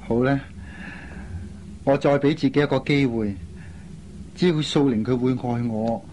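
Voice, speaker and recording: A man's voice: mid-range voice, unhurried, dark tone, natural voice. A man acts a young, lovelorn Cantonese man in a radio drama. His mid-range voice is restrained and earnest, shy and honest, over faint recording noise. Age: young adult.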